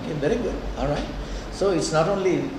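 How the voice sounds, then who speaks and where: A man's voice: on the high side, animated. Older guy teaching a class, sri w/ twang, super echoey room, mic far off. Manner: deliberate, conversational, checks in often with "okay" and "huh". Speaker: lecturer. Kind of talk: classroom lecture with questions to students. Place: indoor lecture room. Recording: echoey lecture room, distant mic, noisy.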